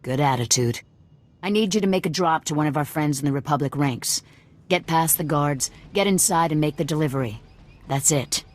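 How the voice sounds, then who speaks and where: An actress playing a wary, commanding female leader of a rebel cell in a video game, with a brisk, probing, businesslike manner. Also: low-pitched, close to an everyday voice. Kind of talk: video game dialogue acting.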